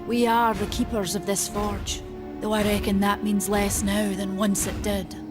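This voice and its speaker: A woman's voice: mid-range voice, little pitch movement, measured pace, close to an everyday voice. A woman voicing a proud, earthy forge keeper. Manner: archaic, plainspoken, bargaining. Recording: game music behind the voice.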